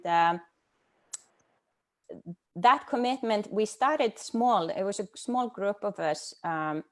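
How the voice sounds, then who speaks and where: A middle-aged woman from Iceland speaking as a guest on a video call, thoughtful and hesitant, with many pauses and fillers. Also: mid-range voice. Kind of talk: casual personal talk.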